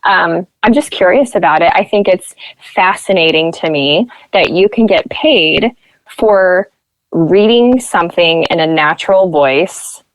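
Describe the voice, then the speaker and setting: A woman's voice: on the low side, unhurried, no room sound, poor audio. A young woman from Nebraska, a podcast guest, telling stories in casual chat and acting out what people said. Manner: chatty storyteller who acts out quoted voices.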